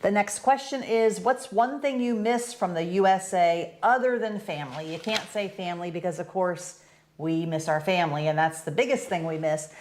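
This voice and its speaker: An older woman from the United States chats through viewer questions in an upbeat, talkative way. Her voice sits a little low for a woman. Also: normal speed, a little mellow, slight background noise. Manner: chatty, upbeat, leads the questions. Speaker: co-host. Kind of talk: casual Q&A chat.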